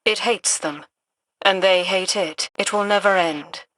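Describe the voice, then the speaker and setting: An otherworldly female emissary from a video game, played by a woman. She speaks in cryptic, formal pronouncements with cool authority. Her tone is bright and incisive, in a very dry space. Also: medium pitch, no room sound, lightly acted, poor audio. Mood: ominous, detached. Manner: measured, formal, oracular. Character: cryptic otherworldly female emissary of cosmic powers, coolly imperious. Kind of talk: dramatic video game dialogue.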